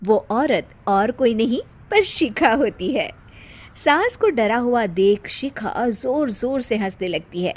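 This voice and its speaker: A woman's voice: on the high side, lively intonation, takes it slowly, slight background noise. A woman narrating a scripted Hindi children's cartoon story as the storyteller.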